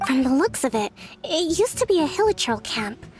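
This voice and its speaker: A young female commander with a high, gentle voice. She is composed and confident, articulate and measured, formal but friendly, like a video game strategist. Music plays behind her. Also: big pitch swings. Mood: calm, analytical. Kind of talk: video game character dialogue.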